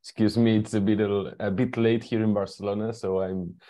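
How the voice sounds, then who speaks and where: A man's voice: fairly low pitch, little pitch movement, fairly quick, warm tone. A man, a journalist and co-director, joining a film panel by video call and answering with hesitant fillers. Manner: sleepy, laughs lightly, hesitant. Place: Barcelona.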